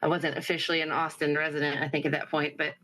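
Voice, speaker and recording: A woman's voice: sits low, flat delivery, quick pace, sharp tone. A woman from Alaska, a musician guest telling her story in a laid-back way. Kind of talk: casual interview chat.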